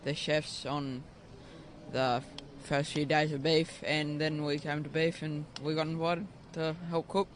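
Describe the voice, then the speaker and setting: A man's voice: fairly high pitch, flat delivery, unhurried, a little hiss or hum. A young man giving plain, brief answers in an outdoor interview at a food event. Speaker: guest helper.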